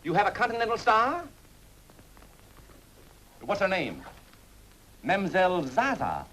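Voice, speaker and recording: A man's voice: high voice, wildly swooping pitch, slow, pushed and bright, a little hiss or hum, full character voice, poor audio. An actor playing a brash, wisecracking American showman in a 1930s-style film, blunt and impatient. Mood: busy phone.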